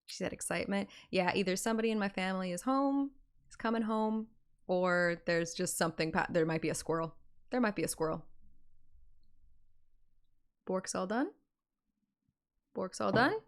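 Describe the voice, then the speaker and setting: A woman's voice: mid-range voice, normal speed, pauses often, clean tone. A woman livestreamer with a playful, chatty manner, talking casually and reading a game story aloud. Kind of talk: casual stream chat and expressive game read-aloud.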